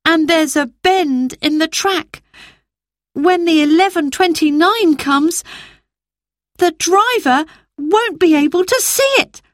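A woman reading aloud and voicing a brave, eager young boy in a storybook, with an urgent, excited manner. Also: very high-pitched, takes it slowly, no room sound, clean studio sound, voice pushed up.

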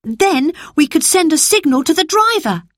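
A woman's voice: high voice, moderate pace, no room sound, studio quality, voice pushed up. A woman doing an urgent, eager young boy. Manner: urgent, excited.

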